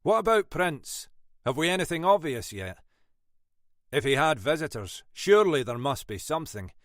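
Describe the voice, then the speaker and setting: Man performing a shrewd Scottish detective, wry, brisk, animated intonation, close dry sound. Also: on the high side, no echo, voice pushed up. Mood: probing. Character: shrewd older Scottish male detective inspector.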